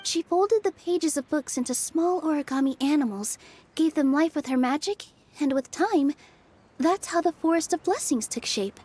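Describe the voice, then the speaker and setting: A woman playing a gentle, earnest young dancer in a video game, speaking softly and sincerely. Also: high voice, normal speed, natural voice. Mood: explaining. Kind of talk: English video game voice acting.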